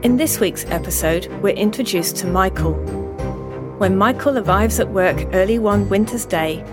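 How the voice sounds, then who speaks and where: Woman host introducing over loud music. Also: medium pitch, normal speed. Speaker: podcast host and author. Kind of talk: scripted podcast introduction.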